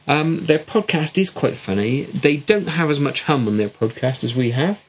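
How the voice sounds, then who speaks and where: A man's voice: on the high side, lively intonation, normal speed. A man with a West Country accent co-hosting a casual podcast, bantering dryly. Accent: West Country English. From West Country of England. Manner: dry, jokey banter. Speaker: podcast co-host. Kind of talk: casual chat. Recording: lo-fi home recording with hum. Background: faint hum.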